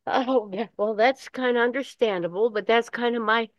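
An older woman with a Midwestern American accent, chatting casually and rambling in a halting way. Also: a little high, no echo. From Michigan, United States. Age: 60s or older. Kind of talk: casual chat. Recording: dull lo-fi call audio.

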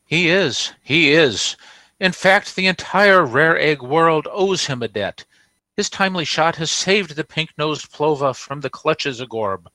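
A man's voice: a little high, lively intonation, a little slow, pushed and bright, no echo. A man playing an effusive, formal store boss in a radio comedy, pompous and emphatic. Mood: grandiose. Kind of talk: old-time radio comedy acting.

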